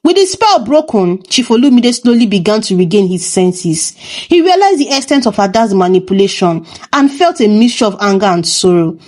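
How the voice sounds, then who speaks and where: A woman's voice: medium pitch, big pitch swings, normal speed, darkish tone. A woman reading a scripted folktale as a storyteller. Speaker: storyteller, narrator.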